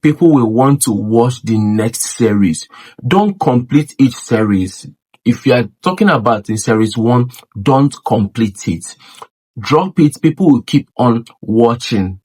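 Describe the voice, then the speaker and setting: Husky man giving emphatic creator advice. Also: mid-range voice, darkish tone. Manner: emphatic, repetitive, preachy coaching. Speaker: YouTube creator teaching monetization. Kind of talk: direct-to-camera advice talk.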